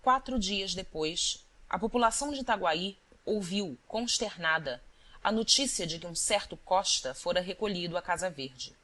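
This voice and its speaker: A woman reading scripted literary narration as an audiobook narrator, with a measured, deliberate storytelling delivery. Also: on the low side, expressive, sharp tone.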